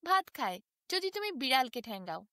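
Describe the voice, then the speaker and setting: A woman's voice: high voice, big pitch swings, fairly quick, bright, forceful tone, no room sound, clean studio sound, full character voice. A woman voicing a small, easily angered tailorbird in a storybook tale, wheedling in a sing-song, repetitive way. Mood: bargaining. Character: small indignant pleading tailorbird, quick to anger.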